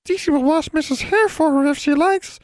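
A man's voice: very high voice, fairly quick, dry recording, pitched above the performer's own voice. A man voicing an eager, servile female magical servant creature in an audiobook, speaking deferentially in the third person.

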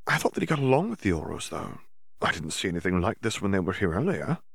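A man's voice: low voice, sing-song, fast, creaky, a bit bright, no room sound, clean studio sound, exaggerated voice. A man voicing a curious, earnest young schoolgirl who asks questions.

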